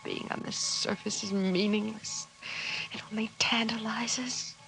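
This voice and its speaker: An actress playing a fragile, wistful, unhappy young wife in a 1950s radio drama, hesitant and often hushed. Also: female voice, fairly high pitch, steady tone, measured pace, poor audio. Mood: anguished, pleading. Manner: breathy, hesitant, often dropping to a hush. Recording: hissy 1950s radio recording.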